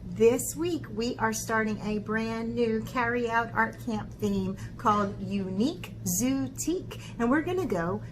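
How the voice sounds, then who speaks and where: A woman with a high voice hosts an art camp for children. She talks to the kids in a casual, chatty way, often checking in with them. There is clear noise behind her voice. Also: measured pace, hardly any pauses. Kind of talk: casual talk to children, read-aloud.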